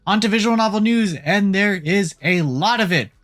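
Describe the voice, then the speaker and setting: A host delivering casual gaming news commentary in an irreverent, jokey way, swearing casually, with a medium-pitched voice. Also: normal speed, fairly bright tone. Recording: music playing behind the voice. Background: background music.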